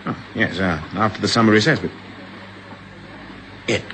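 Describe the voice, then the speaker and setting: An actor playing a skeptical, exasperated companion who argues back, in a 1950s radio drama. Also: male voice, on the low side, normal speed, sharp tone, a little hiss or hum. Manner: argumentative, exasperated.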